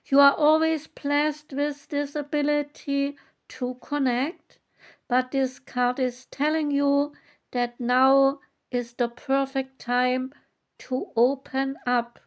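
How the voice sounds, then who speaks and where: High-voiced woman reading oracle cards slowly. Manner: slow, measured reading with long pauses. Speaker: oracle card reader. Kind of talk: reading oracle guidebook text aloud.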